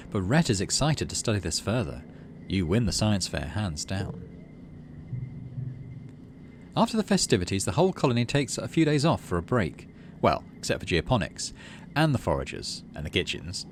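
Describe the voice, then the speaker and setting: A man's voice: lowish voice, slight background noise. A man hosting a gaming video, reading story text aloud and adding muttered asides as he plays.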